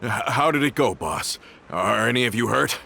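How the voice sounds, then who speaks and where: A man's voice: mid-range voice, animated, moderate pace, vocal fry, sharp tone, slight background noise. A man voicing a loyal male gang member, polite and deferential, in a video game dub. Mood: concerned.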